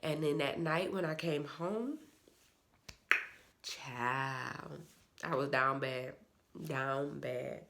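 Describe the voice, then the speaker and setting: Stuffy-nosed woman with a gravelly voice. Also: low voice, very slow, long pauses, background noise. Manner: chatty, rambling, uses slang. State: stuffy, nasal from a cold. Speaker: vlogger and soldier. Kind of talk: casual vlog chat.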